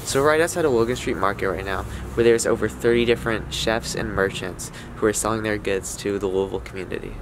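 A young man, a student reporter, introducing a market and interviewing vendors with brisk short prompts. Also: on the low side. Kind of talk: on-location interviewing. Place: indoor market hall. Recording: music bed under the voice.

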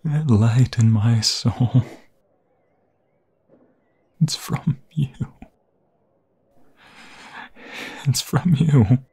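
A man as a slow, breathy, lovestruck vampire fiancé. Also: mid-range voice, warm tone, full character voice. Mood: tender, aching. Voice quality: breathy, husky, often dropping into whispers. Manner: slow, halting, emotional delivery. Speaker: ASMR roleplay performer. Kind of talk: intimate ASMR romantic roleplay.

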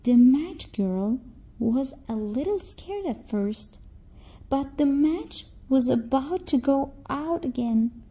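Slow, mellow woman storyteller. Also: sits high, expressive, breathy edge. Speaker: children's storyteller. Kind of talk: scripted fairy-tale narration for children.

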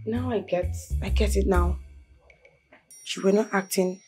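A woman's voice: mid-range voice, steady tone, unhurried, darkish tone, natural voice. A woman playing a passionate, insistent wife in a melodramatic film, repeating herself emphatically. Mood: subdued, forgiving. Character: passionate, insistent wife fighting for her husband. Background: faint music.

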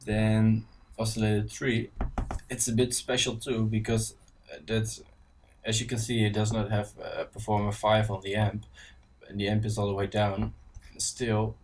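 Dutch man with a low, casual voice. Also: completely flat delivery, a little mellow, recorded from a distance, a little hiss or hum. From Netherlands. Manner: casual, halting, many ums and restarts. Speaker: synth tutorial presenter. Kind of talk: screen-recorded software tutorial. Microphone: voice-over on screen recording.

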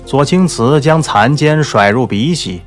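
A man narrating a novel as a scripted audiobook, in a measured, literary storytelling manner. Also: medium pitch, animated. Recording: soft background music under the voice.

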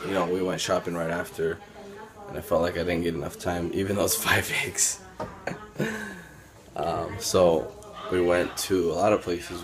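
A man in his twenties chatting casually to a handheld phone camera, relaxed, with lots of fillers. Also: low voice. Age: 20s. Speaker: vlogger. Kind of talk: casual daily vlog. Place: car, store and home kitchen. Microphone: handheld phone at arm's length.

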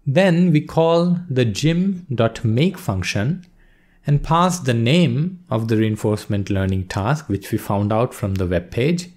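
A male instructor calmly explaining a coding task step by step in a screen-recorded lesson. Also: medium pitch, slow. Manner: steady explanatory pace, walks through steps. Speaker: course instructor.

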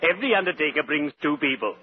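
An actor playing a morbidly genteel undertaker in a 1940s radio sitcom, formal, deliberate and drily macabre. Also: male voice, a little high, lively intonation, lightly acted. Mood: drily cheerful. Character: Digger, morbidly genteel, cheerful male undertaker. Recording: very noisy, muffled 1940s radio recording.

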